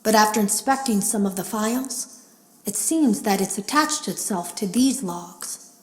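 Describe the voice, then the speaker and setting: A nervous game tester played by a woman, speaking low and confiding in a mid-pitched voice as she records secret logs. Her words carry a roomy echo around them. She sounds watchful and resolute, like someone leaving warnings she fears will be found. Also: unhurried, close to an everyday voice. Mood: urgent, resolute. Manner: hushed, wary, confiding. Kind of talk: scripted game voice acting.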